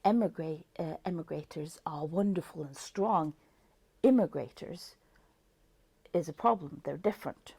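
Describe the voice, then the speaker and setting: A woman with a Norwegian accent talks through a book review at an unhurried pace. Her low, mellow voice carries a gravelly edge. The sound is close and dry, and she often stops to search for the right word. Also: no room sound. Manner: thoughtful, searching for words with many fillers. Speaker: book vlogger. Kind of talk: casual book review talk.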